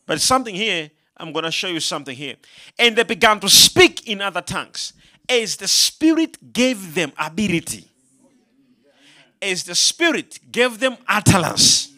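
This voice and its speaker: A man preaching a sermon, asking the congregation to answer back and rising into shouted passages. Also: sits high, very animated, normal speed. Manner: call-and-response, rising to shouts.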